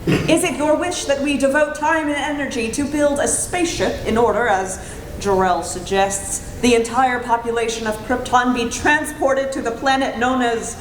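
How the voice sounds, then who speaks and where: A woman's voice: fairly high pitch, fairly even intonation, normal speed, close to an everyday voice. A woman acting a haughty, mocking leader of an alien council, formal and imperious in old-time radio drama style. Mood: stern, official. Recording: echoey room, clear background noise.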